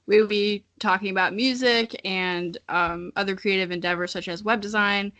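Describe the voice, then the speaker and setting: A woman's voice: medium pitch, fairly even intonation, normal speed, fairly bright tone. An American woman in her early twenties hosting a podcast in casual comedic chat, informal and self-deprecating. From Missouri, United States. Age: early 20s. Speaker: podcast host.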